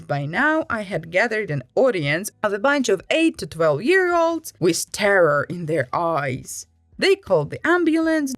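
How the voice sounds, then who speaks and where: A woman reading Reddit stories aloud with lively, expressive delivery and acted asides. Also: mid-range voice, dry recording. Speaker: Reddit story reader.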